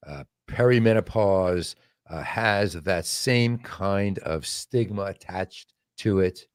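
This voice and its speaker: A man with a fairly low voice co-hosts an interview and asks his guest curious, probing questions in a conversational way. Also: takes it slowly, clear, resonant tone. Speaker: talk show co-host.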